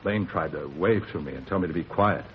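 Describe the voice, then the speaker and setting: An actor playing a tough, self-justifying American bar owner shaken by a robbery, in clipped, streetwise 1950s radio police drama style. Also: male voice, low voice, brisk, natural voice. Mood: animated recounting. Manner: clipped sentences, boastful, defensive, streetwise slang. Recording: muffled, hissy 1950s radio recording.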